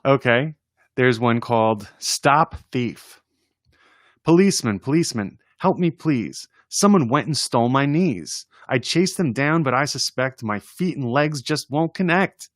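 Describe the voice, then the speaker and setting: A man's voice: mid-range voice, animated, unhurried, dry recording. A man reads playful poems for a story time, taking his time over the words. His delivery is informal and chatty, with an amused air, and the sound is close and dry. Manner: informal, chuckling, chatty asides between readings. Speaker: reader presenting children's poems. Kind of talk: casual read-aloud of humorous poems.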